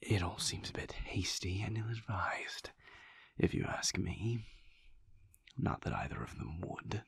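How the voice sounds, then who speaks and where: A man playing a guarded, world-weary vampire in an intimate audio roleplay, speaking formally and measuredly in a breathy, gravelly near-whisper. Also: low voice, fairly bright tone, no echo, studio quality, heavily acted. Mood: composed, dismissive. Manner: formal, measured, clipped, sighing diction.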